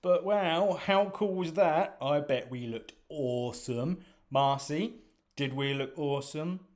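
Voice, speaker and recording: A man's voice: sits high, sing-song, a little fast, darkish tone, voice pushed up. A man voicing a goofy, theatrical young man who is overexcited. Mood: giddy.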